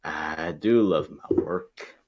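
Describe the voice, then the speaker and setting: A man voicing a gloating mad scientist villain in a cartoon table read, with theatrical, drawn-out proclamations. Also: extremely low pitch, a little mellow, highs cut off, full character voice. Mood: smug.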